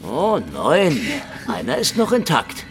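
A man's voice: fairly high pitch, wildly swooping pitch, slow, exaggerated voice. A man playing a sardonic, world-weary Soviet secret policeman in a hardboiled radio crime drama, wisecracking sarcastically. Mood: wry, probing. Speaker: actor playing the lead investigator and narrator. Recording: long hall reverb, music behind.

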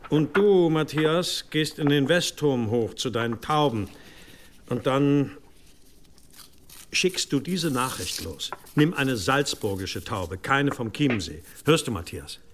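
An actor playing a stern older abbot in an audio drama, speaking gravely and deliberately with commanding authority. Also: male voice, medium pitch, animated, slight background noise. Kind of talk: German audio drama acting.

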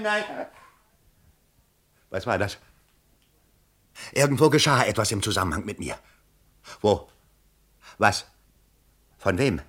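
An actor playing a pompous, agitated bourgeois election candidate in a theatrical German radio play, exclamatory and self-dramatizing. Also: male voice, mid-range voice, bright, forceful tone, heavily acted.

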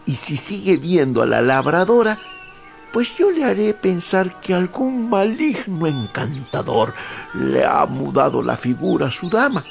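A servile but cunning peasant squire in a radio play. His voice is very high and creaky, swooping widely as he wheedles and pleads, with faint music behind. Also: heavily acted. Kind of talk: radio drama acting.

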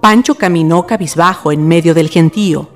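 A woman's voice: fairly low pitch, normal speed, loud music behind the voice. A woman with a Chilean accent giving scripted narration for a radio drama.

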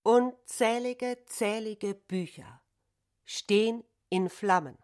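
An actress playing an ominous commenting voice in a radio drama. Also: female voice, medium pitch, takes it slowly, a little mellow, close to an everyday voice. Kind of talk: dramatic radio play delivery.

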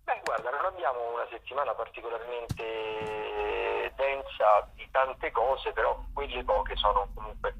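A man, a theatre critic, giving the week's theatre listings over the phone in a relaxed, rambling way full of hesitations. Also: mid-range voice, a little slow, creaky, slight background noise. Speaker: theatre critic and regular contributor. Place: at a festival, away from the studio. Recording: tinny phone line.

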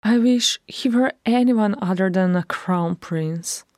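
A woman's voice: takes it slowly, darkish tone, no echo, studio quality, lightly acted. A woman voicing a blunt, impetuous dwarf woman who grumbles and complains. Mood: grumbling. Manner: higher, more emphatic voice than her narration.

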